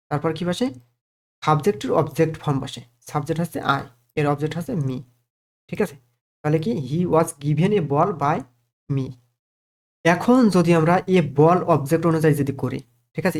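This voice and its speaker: A man's voice: on the high side, darkish tone. A man giving a step-by-step grammar lesson as a teacher, posing questions and answering them himself and often checking that listeners follow. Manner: didactic, poses questions and answers them, frequently checks understanding.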